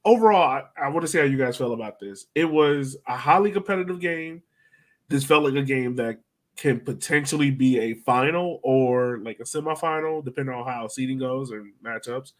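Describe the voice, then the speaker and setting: A man's voice: medium pitch, fairly quick. A man hosting a casual sports podcast, chatting in a blunt, opinionated way. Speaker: podcast host.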